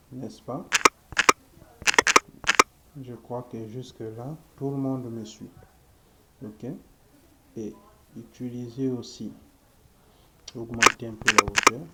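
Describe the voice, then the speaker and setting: Slow gravelly man, noisy coding tutorial. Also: mid-range voice. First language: French. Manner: slow, thinking aloud while typing, frequent "ok", addresses viewers. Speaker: web development instructor. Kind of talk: screen-recorded coding tutorial. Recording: loud background noise.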